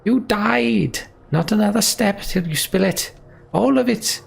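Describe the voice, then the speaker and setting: A man voicing a furious, threatening warrior in a game, shouting and hissing his demands. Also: high-pitched, unhurried, creaky, slightly rough, voice pushed up, exaggerated voice.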